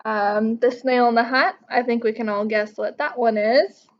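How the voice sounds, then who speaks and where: A woman's voice: a little high, fairly even intonation, moderate pace, highs cut off. A woman in her thirties reading a picture book aloud and explaining it to children in an instructive way. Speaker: teacher reading to young pupils. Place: living room couch at home.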